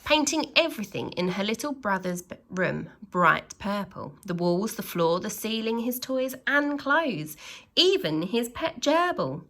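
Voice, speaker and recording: Slow, expressive woman reading to children. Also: mid-range voice. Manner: expressive, with dramatic emphasis and exclamations; pauses to address young listeners with questions. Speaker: teacher reading a storybook to children. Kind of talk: animated storytelling read-aloud for young children.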